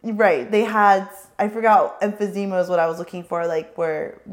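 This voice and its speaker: A woman in her thirties co-hosting a podcast while hoarse from losing her voice, chatting casually and laughing often. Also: medium pitch, fairly even intonation, moderate pace. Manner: laughs often, rambling and self-correcting. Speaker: podcast co-host. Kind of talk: casual chat.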